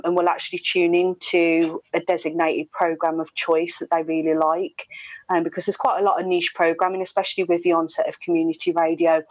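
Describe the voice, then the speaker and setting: A middle-aged British woman gives conversational answers as a guest. Her voice is on the low side and stays quite level. The video call sound is slightly dull, with a dry, close feel. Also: darkish tone, dry recording. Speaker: radio presenter, interview guest. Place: indoor room, video call. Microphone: wired earphones with inline mic.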